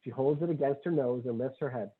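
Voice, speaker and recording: A man's voice: medium pitch, quick pace, slightly rough. A man reading screenplay stage directions as scripted narration at a table read. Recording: tinny phone-like audio.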